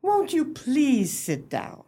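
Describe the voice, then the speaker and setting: An actress in a radio play as a genteel, eager club hostess, speaking in formal, gracious, high-flown phrases. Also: female voice, wildly swooping pitch, slow pace, noisy background, exaggerated voice. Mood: anxious to impress.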